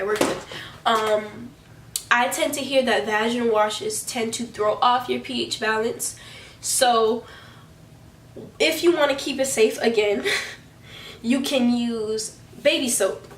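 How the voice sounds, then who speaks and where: A woman's voice: a little high, far from the mic, slight background noise. A young woman vlogger, chatting casually to camera. She sounds stuffy from a cold, and she rambles with frequent restarts and fillers. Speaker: beauty and hygiene vlogger. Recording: echoey room, voice a bit off-mic.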